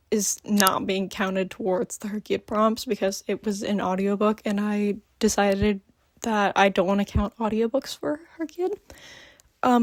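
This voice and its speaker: A woman chatting casually in a book review vlog, just woken up, gushing with lots of ums. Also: medium pitch. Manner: gushing, filler-heavy, many ums. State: just woke up. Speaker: book vlogger.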